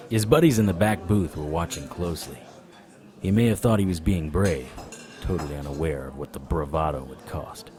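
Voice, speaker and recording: An actor playing a wry, world-weary occult detective who narrates in noir audio drama style. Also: male voice, low voice, close to an everyday voice. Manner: wry, sardonic. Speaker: narrator and lead character.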